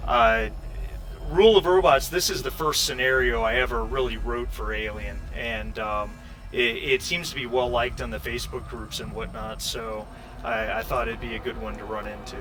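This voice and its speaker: Bright-voiced man hosting, halting delivery. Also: medium pitch, poor audio. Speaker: game master and host. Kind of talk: casual outro chat to players and stream viewers.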